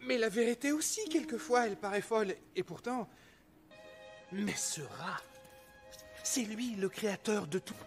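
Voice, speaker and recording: A man playing an awkward, earnest young cook in an animated film, hesitant and stammering. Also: high voice, unhurried, pauses often, over music. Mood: pleading. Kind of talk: dubbed animated film acting.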